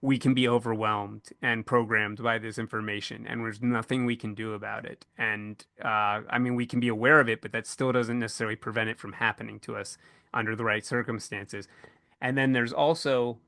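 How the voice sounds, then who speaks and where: A man co-hosting a casual panel discussion, thinking aloud in a halting way and often repeating words as he goes. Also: on the low side, level tone, creaky, bright, forceful tone, no echo, clean studio sound. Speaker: podcast co-host moderating a panel.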